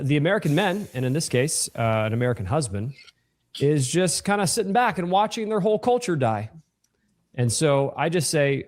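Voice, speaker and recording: A man in a played clip giving slow, solemn moral commentary. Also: mid-range voice.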